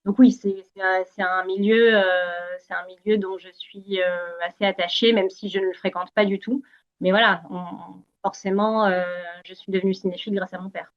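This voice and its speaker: A woman's voice: medium pitch, steady tone, moderate pace, dull audio. A woman in her forties from Algeria, a campaigner answering interview questions earnestly, with many hesitations and restarts. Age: 40s. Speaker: Greenpeace campaigner, guest.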